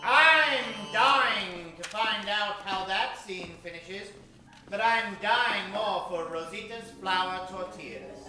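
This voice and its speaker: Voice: mid-range voice, slow. A narrator telling a story in a dramatic, theatrical old-time radio style. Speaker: narrator and announcer. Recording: very echoey live stage.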